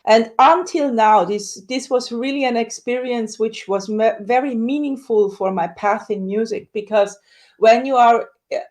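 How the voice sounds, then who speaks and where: A woman, a soprano singer, telling her story in a casual interview with lively acted-out quotes. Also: measured pace. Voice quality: high dramatic coloratura soprano voice.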